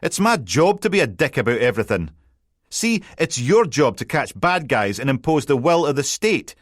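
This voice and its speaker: A man's voice: mid-range voice, big pitch swings, normal speed, no room sound, clean studio sound, voice pushed up. A man voicing a cocky, needling Scottish newspaper editor in an audiobook. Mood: smug teasing. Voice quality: brash, needling.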